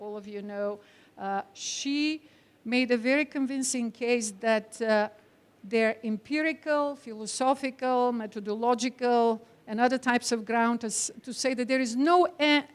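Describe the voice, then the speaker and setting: High-voiced Bulgarian woman giving a lecture. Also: smooth. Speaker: academic speaker, teacher trainer. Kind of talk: conference lecture to an audience.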